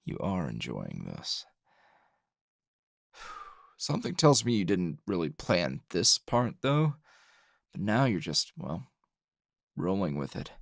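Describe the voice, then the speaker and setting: A man playing a gruff, flirtatious male anime hero in an intimate ASMR roleplay, gravelly and husky, teasing and halting. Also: sits low, dry recording, clean studio sound, exaggerated voice. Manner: slow, teasing, halting with sighs and chuckles.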